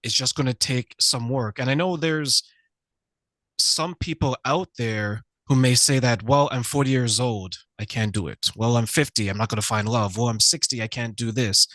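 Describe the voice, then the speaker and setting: A man's voice: medium pitch, animated, normal speed, sharp tone, no room sound. A male podcast host asking long conversational questions and adding his own commentary.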